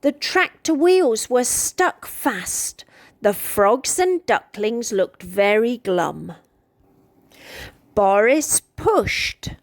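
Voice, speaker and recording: A woman with a British accent reading a children's picture book aloud in an expressive, deliberate storytelling manner. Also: on the high side, gravelly, no echo, studio quality.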